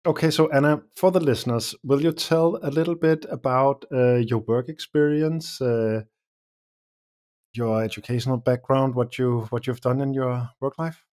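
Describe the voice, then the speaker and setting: Middle-aged man hosting with halting delivery. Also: medium pitch, fairly even intonation, darkish tone. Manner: halting, with frequent uh fillers. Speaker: podcast host. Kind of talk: podcast intro and interview. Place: small room with curtain backdrop. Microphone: studio condenser mic with pop filter.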